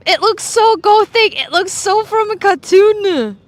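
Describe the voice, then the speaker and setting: A woman from Russia, streaming casual chat on a street with her phone, excitable and playful. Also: extremely high pitch, a little slow.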